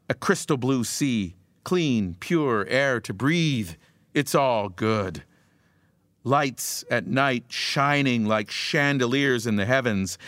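Slow, measured male preacher. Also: mid-range voice, a bit bright, no room sound. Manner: slow, measured, emphatic delivery with rhythmic repetition. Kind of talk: scripted devotional message.

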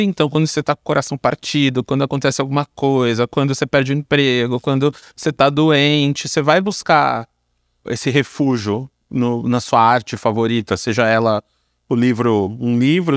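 A man's voice: medium pitch, fairly quick, dry recording, clean studio sound. A man in his thirties or early forties with a Brazilian accent, talking informally as an interview guest, explaining and telling stories. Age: 30s to early 40s. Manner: informal, explanatory, frequent tag words. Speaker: podcast guest, screenwriter. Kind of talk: casual conversational storytelling.

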